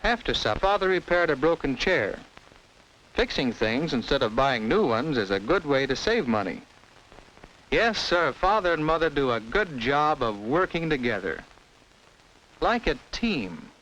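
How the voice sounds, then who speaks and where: A man's voice: a little high, very animated, a little slow, a bit bright, slight background noise, rough recording. An American man narrating a 1950s educational film in simple, instructive storytelling addressed to children, on a vintage film soundtrack.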